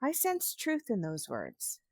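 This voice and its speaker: Woman as firm Jedi, lively intonation. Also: medium pitch, slow pace, dry recording, clean studio sound, full character voice. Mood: measured, firm. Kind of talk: audio drama acting.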